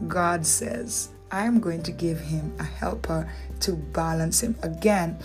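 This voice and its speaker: A woman with a Dominican accent teaching from the Bible in a relaxed, conversational way, with rhetorical questions and playful asides. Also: on the low side.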